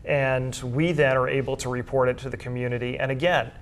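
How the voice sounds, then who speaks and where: A man's voice: mid-range voice, flat delivery, brisk. A middle-aged American man speaks as a guest in an interview. He explains his work in an earnest, thorough way, with a mid-range voice. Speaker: guest, school sports information director and journalism teacher.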